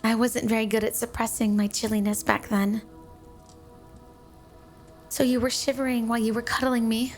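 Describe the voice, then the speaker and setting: High-voiced doting yandere snow woman, played by an actress. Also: flat delivery, moderate pace, long pauses, faint music behind, natural voice. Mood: playful, tender. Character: flirty, devoted, possessive yandere snow woman wife. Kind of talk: intimate ASMR roleplay.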